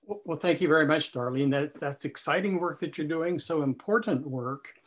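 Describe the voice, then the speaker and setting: A man's voice: a little high, moderate pace. An older man moderating a video conference from a room at home on a laptop webcam. He talks in a reflective way and often repeats words as he goes.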